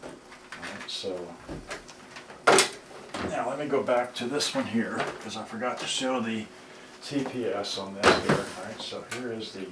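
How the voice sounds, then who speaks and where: A man giving a casual, unscripted demonstration, talking himself through it step by step in a halting way. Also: on the low side, very noisy. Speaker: repairer demonstrating serviced cassette players. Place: indoors in a house. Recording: roomy, distant mic, loud music behind.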